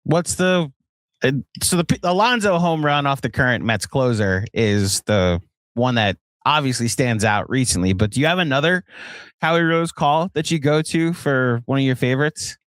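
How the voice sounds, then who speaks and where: A man's voice: mid-range voice, animated, normal speed, a bit bright, clear, resonant tone, no room sound. A man hosting a sports podcast in a casual, conversational style.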